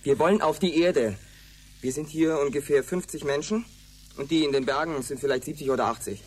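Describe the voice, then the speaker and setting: Husky, higher-voiced desperate colonist leader. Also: male voice, brisk, a little mellow. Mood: commanding, menacing. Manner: blunt, commanding. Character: desperate, bitter male colonist leader, forceful and determined. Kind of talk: German radio drama acting.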